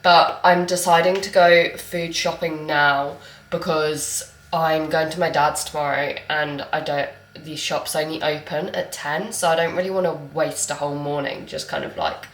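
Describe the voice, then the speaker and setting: A woman's voice: sits low, normal speed, a little hiss or hum. A woman vlogging casually as a fitness coach, congested from a cold, rambling with lots of fillers. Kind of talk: casual vlog chat to camera.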